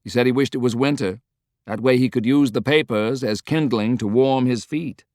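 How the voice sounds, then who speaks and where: A man's voice: mid-range voice, normal speed, a little mellow, no room sound, clean studio sound, pitched above the performer's own voice, natural voice. Man playing an earnest, confiding political adviser. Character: loyal, good-humored male political adviser, frank and measured.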